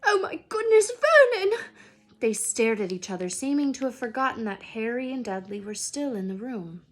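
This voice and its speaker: A woman's voice: fairly high pitch, brisk, a little mellow. A woman reading a children's story aloud expressively, as a teacher would.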